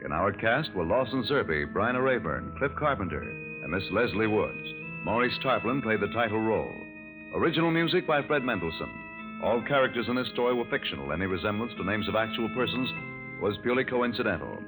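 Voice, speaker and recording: A man's voice: fairly low pitch, sing-song, fairly quick, rough recording. An American man announcing formally and dramatically in the scripted style of 1940s radio. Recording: vintage radio recording with music.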